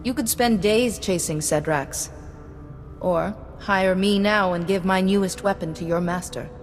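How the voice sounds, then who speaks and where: An actress playing a cool, ruthless warrior mystic in a video game, curt, assured and coldly sardonic. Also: female voice, mid-range voice, measured pace, big-room sound, soft background music, lightly acted. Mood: haughty. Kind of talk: video game dialogue acting.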